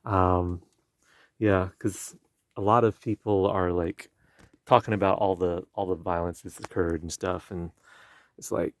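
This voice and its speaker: A young man with Chinese as his first language, hosting a casual conversation and talking in halting phrases with many restarts and fillers. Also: low-pitched, steady tone. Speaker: podcast host. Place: indoor room with a field recorder. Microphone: field recorder.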